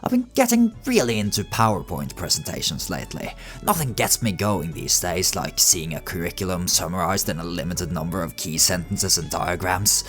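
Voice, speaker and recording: Man, low voice, lively intonation, tongue-in-cheek scripted narration, music behind. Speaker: video essay host. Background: background music.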